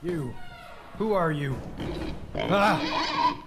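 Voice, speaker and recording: A man's voice: a little high, expressive, drawn-out words, heavy background noise. A man playing a bewildered amnesiac prisoner in an improvised radio comedy, with a melodramatic, urgent, questioning delivery. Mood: confused, alarmed. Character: bewildered amnesiac prisoner desperately clinging to sanity.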